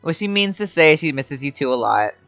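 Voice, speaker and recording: A woman's voice: sits low, expressive, brisk, fairly bright tone, soft background music. A woman giving casual, amused reaction commentary over a video game, informal and free with swearing. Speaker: gaming streamer.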